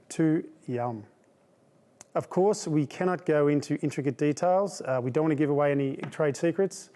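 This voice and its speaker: A man's voice: on the high side, dark tone. A man with an Australian accent presenting as a webinar host, conversational, informal and self-effacing. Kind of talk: conversational presenting to an online audience.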